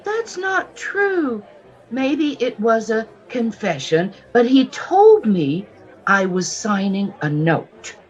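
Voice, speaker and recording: A woman's voice: a little high, sing-song, slow pace, muffled recording. An actress playing a naive, polite young shopgirl in her twenties, earnest and plaintive, in a radio western. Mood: anxious, indignant. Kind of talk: old-time radio western acting.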